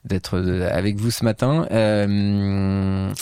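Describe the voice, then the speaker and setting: A man's voice: lowish voice, steady tone, takes it slowly, dark tone, clean tone, no room sound, clean studio sound. A man in his forties from southeastern France, a podcast guest talking in a hesitant, filler-heavy way. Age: 40s. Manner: hesitant, many fillers, laughs often. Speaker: podcast guest, investment fund head. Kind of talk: casual interview.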